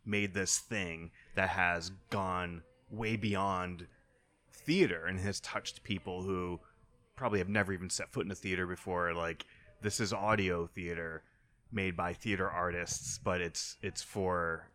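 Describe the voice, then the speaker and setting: A man's voice: low voice, steady tone, a little slow, sharp tone. A man hosting a casual interview, talking in a conversational way as he shares his own thoughts with a guest. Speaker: interview host.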